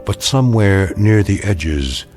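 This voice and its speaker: A man narrating a radio drama as a solemn, knowing American teller of eerie tales, deliberate and ominous. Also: on the low side, animated, loud music behind the voice.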